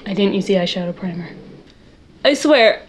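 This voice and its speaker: A young woman with a loud voice chatting casually in her bedroom while doing a makeup tutorial, rambling and poking fun at herself. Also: medium pitch, lively intonation, normal speed, a little hiss or hum. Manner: chatty, rambling, self-deprecating, talks to viewers while working. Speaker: makeup vlogger.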